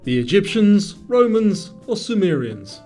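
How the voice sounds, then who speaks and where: A man with a high voice presents a scripted quiz over background music. His intonation swings widely as he addresses the player, and each phrase is clearly enunciated in a presenter's style. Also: big pitch swings, a little slow, a little mellow. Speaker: quiz show host.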